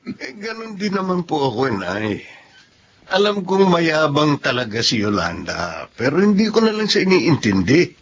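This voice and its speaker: A man with a Filipino accent playing an earnest, patient husband and son in a radio drama, gently reasoning. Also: on the high side, sing-song, a little slow, slightly rough, exaggerated voice. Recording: dull lo-fi audio, faint music behind.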